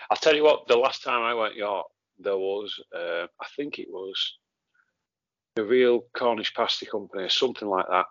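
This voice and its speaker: A man's voice: fairly low pitch, moderate pace, fairly bright tone, no echo, muffled recording. An English man hosting a podcast, chatting in a matey way and swearing casually. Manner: matey, swears casually, says "mate" a lot. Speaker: podcast host.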